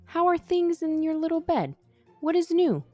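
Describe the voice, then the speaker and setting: Woman as a high, insistent storybook phone caller. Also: sing-song, normal speed, darkish tone, dry recording, faint music behind. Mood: eager, insistent. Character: fretful storybook creature calling out on a telephone.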